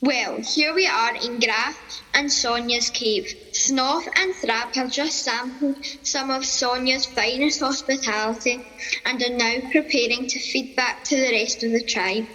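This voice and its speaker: A woman's voice: a little high, steady tone, a little slow, bright, forceful tone, in a large hall, rough recording. A woman playing a polite, chirpy contest host in a radio comedy spoof. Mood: chirpy, presenting. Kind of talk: radio comedy play acting.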